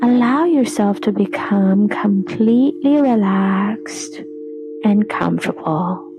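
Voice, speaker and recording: Female yoga nidra guide; soothing and unhurried, with noticeable vocal fry, over clear background music. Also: medium pitch. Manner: soothing, unhurried, breathy trailing phrase endings. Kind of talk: guided relaxation meditation.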